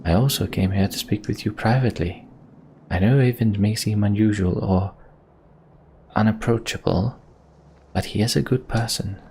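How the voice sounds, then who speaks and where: A solemn fantasy game sorceress, read by a man, earnest and devoted. The delivery is measured and sincere, in a creaky, low register. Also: dark tone, slight background noise, lightly acted. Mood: earnest, tender.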